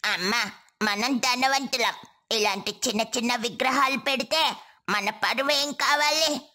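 Woman as a sharp-voiced spoiled rich boy. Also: fairly high pitch, a little slow. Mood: haughty. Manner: haughty, dismissive.

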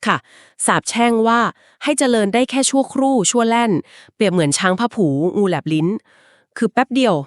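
A woman's voice: fairly high pitch, lively intonation, no echo. Woman retelling a legend, dry close sound. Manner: explains at length with polite particles and filler pauses. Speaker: podcast co-host. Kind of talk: conversational storytelling of a folk legend.

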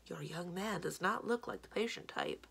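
A woman voicing a bossy, practical giantess wife in a storybook, brisk and scolding in manner. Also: on the low side, vocal fry, fairly bright tone, breathy edge, heavily acted. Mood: confiding.

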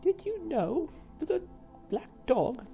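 A storybook mother, acted by a man, shrill and panicked. Her voice leaps into a very high falsetto and stretches the words out loudly. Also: very animated, takes it slowly, soft background music, heavily acted. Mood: alarmed.

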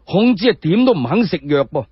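A man telling a story in Cantonese as a one-man radio drama, in expressive colloquial narration. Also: high-pitched, a little fast, slightly rough, no room sound, lo-fi sound.

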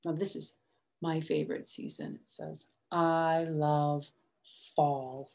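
A middle-aged woman reads a children's picture book aloud slowly and expressively, adding chatty, simple explanations for young children. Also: deep voice, fairly even intonation, a little mellow. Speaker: storytime reader. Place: small room at home, indoors.

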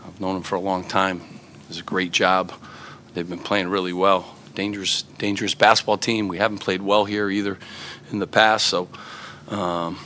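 A middle-aged man answering reporters after a game, his voice a bit low in pitch but bright and forceful. He talks earnestly, with plenty of fillers and restarts, into microphones on a table, and a faint hum of room noise sits under him. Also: little pitch movement, moderate pace. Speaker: head basketball coach. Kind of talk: postgame press conference answers. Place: press conference room, seated at a table.

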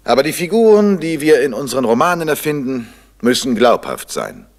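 An actor playing a suave middle-aged crime novelist with urbane, dry irony in a German radio drama from 1970. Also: male voice, medium pitch, big pitch swings, fairly quick. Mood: assured, oratorical.

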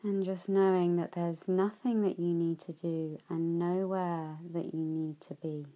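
A woman leading a guided yoga nidra relaxation, speaking softly and often trailing into whispers at phrase ends. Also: fairly low pitch, level tone. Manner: soft, slow, with whispered phrase endings.

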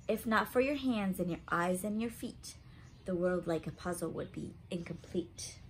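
A woman's voice: lowish voice, moderate pace, slight background noise, low-quality recording. A woman in her thirties hosting story time for young children, speaking expressively and simply, as if straight to a child, in a room at home. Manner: expressive, simple child-directed talk with questions. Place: small room at home, indoors.

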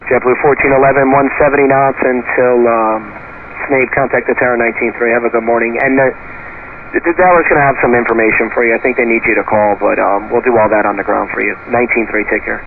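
A man's voice: mid-range voice, fairly even intonation, quick pace, gravelly. A man working as an air traffic controller, giving procedural, clipped instructions over a radio. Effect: radio transmission. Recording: tinny, noisy aviation radio.

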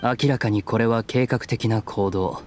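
A man's voice: on the low side, steady tone, a little slow, a little hiss or hum, natural voice. An actor playing a stoic, guarded young nobleman in a video game, serious and restrained. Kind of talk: Japanese video game voice acting. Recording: studio voice over game music.

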